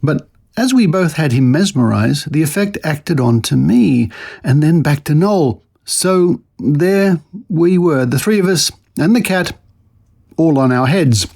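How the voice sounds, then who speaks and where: A man presenting a podcast, reading old newspaper articles aloud in an expressive, theatrical storytelling manner with brief commentary of his own. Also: fairly high pitch, a little slow, creaky.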